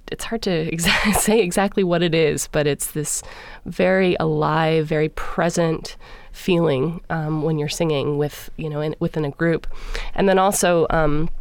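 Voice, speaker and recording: A woman singer in a radio interview, speaking thoughtfully and pausing often to find her words. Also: deep voice, smooth, no echo. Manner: thoughtful, searching for words with many fillers. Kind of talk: interview conversation.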